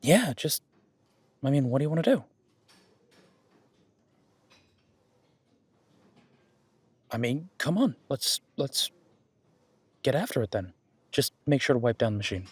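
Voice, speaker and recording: A man acts out an easygoing male werewolf who loves the gym and supports his partner. He speaks at a medium pitch in a casual, conversational way, reassuring and rambling through his own corrections. The audio roleplay is recorded very dry, with hardly any room around the voice. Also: big pitch swings, normal speed, long pauses, slightly rough, dry recording. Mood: encouraging, casual. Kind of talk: conversational one-sided roleplay acting.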